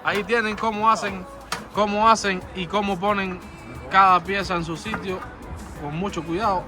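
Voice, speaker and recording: High-voiced man interviewing coworkers outdoors. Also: steady tone, normal speed, over music. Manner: chatty, laughing, repeats words. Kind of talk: casual on-site interview. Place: outdoors on scaffolding high above a city street.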